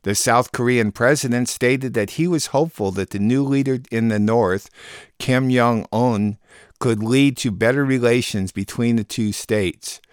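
Measured male radio host, dry sound. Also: medium pitch, clean tone, no echo. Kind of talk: scripted news digest narration.

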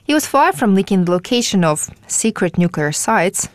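A female newsreader delivering a radio news report in a measured, formal way. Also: mid-range voice, very animated, no room sound.

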